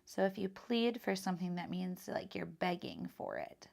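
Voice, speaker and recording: A woman reading a children's picture book aloud as a teacher, in an expressive, instructive style. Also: on the low side, moderate pace, creaky.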